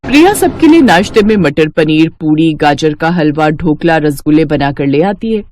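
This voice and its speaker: A woman's voice: deep voice, expressive, normal speed, a little mellow, no echo. Woman narrator, dry close recording. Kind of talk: scripted story narration for a cartoon.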